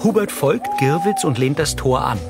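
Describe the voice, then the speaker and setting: A man's voice: on the high side, animated, normal speed. A man reading scripted audio description, narrating scenes in the present tense. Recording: clear music behind the voice. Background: soundtrack music.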